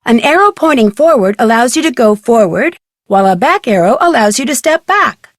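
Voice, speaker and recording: An actress as an upbeat teenage detective guiding players through a mystery game. Her voice is slightly high and recorded close and dry. She explains things in tidy, scripted steps. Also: expressive, brisk, dry recording, lightly acted. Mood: helpful, instructive. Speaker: tutorial guide in a mystery game. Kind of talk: scripted instructional narration.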